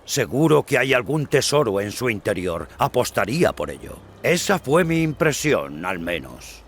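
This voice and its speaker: An actor playing a chatty, courteous and slightly wary scavenger in a video game, musing in a halting way. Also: male voice, medium pitch, big pitch swings, slight background noise. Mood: musing, admiring. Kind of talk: dubbed video game cutscene acting.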